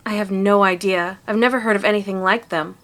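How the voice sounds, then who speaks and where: A woman's voice: medium pitch, steady tone, fairly quick, fairly bright tone, lightly acted. A woman playing a plucky young amateur monster hunter, informal and matter-of-fact, in a fan-dubbed visual novel. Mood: earnest.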